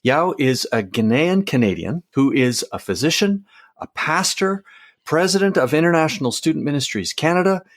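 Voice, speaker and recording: A man's voice: fairly high pitch, animated, normal speed. A man hosting an interview podcast, introducing and questioning in a conversational style.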